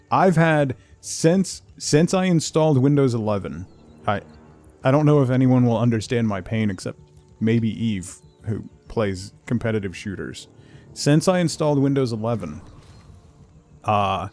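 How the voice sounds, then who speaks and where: Creaky-voiced man chatting on a game stream. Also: mid-range voice, darkish tone. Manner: rambling, conversational, reads chat and game text aloud. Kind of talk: casual livestream chat over gameplay.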